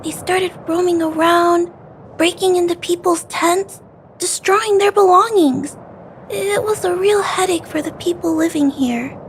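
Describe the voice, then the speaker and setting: A woman voicing a sweet, polite, timid little girl in an anime-style video game, with a gentle, childlike manner. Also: very high voice, unhurried, a little hiss or hum. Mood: worried, polite.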